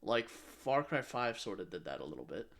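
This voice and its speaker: A man's voice: fairly low pitch, big pitch swings, normal speed, a bit bright. A man co-hosting a podcast, chatting casually and informally about games, sometimes repeating himself for emphasis. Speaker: podcast co-host.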